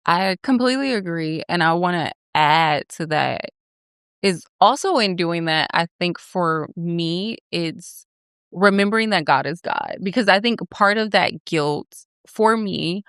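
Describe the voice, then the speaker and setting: Young woman co-hosting a faith podcast, lowish smooth voice, earnest, asks questions. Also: unhurried, fairly bright tone, no echo, studio quality. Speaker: podcast co-host. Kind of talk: faith conversation.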